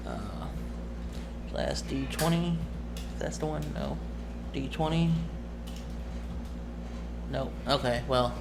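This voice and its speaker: A man talking casually to his viewers as a streamer and game master, halting and rambling as he corrects himself. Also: medium pitch, noisy background.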